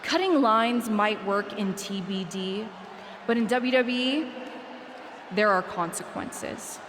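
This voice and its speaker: A woman's voice: medium pitch, takes it slowly, crowd noise, natural voice. Woman playing a vengeful wrestler in a reverberant arena. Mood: accusing. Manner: mocking, accusatory. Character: vengeful sardonic female wrestler confronting a rival. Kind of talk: scripted wrestling promo. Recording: long arena reverb, clear background noise.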